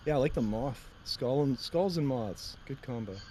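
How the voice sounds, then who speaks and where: A man with a deep voice who tells his stories loosely, circling back and chuckling as he goes. He talks like a relaxed podcast co-host, with faint noise underneath. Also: slow pace. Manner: rambling storyteller, laughs often. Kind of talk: casual chat.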